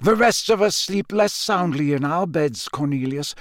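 An old wizard of great authority acted out by a male audiobook reader speaks with solemn urgency. The pitch is lifted and moves widely on stressed words, each phrase measured and emphatic. The storybook recording is close and dry. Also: expressive, no echo, close to an everyday voice. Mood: grave, firm. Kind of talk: audiobook character voice.

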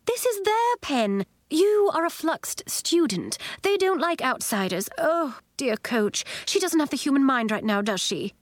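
A woman voicing a grand, theatrical, fussy lady bird keeper in a storybook, with gushing, drawn-out exclamations. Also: high voice, sing-song, no room sound, pitched above the performer's own voice. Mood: fussy dismay.

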